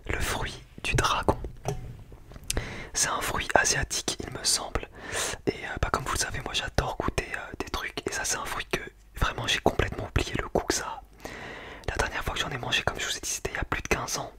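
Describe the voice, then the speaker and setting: A man's voice: a little high, huge pitch swings, fairly quick. Man whispering casual ASMR. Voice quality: breathy unvoiced whisper. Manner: casual, slangy, chatty. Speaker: ASMR food-tasting video creator.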